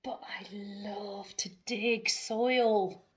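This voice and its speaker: A woman's voice: mid-range voice, fairly even intonation, drawn-out words, highs cut off. A woman voicing an earnest, pleading, guilty dog in a storybook for young children. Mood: hushed longing. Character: eager, guilty, well-meaning naughty pet dog.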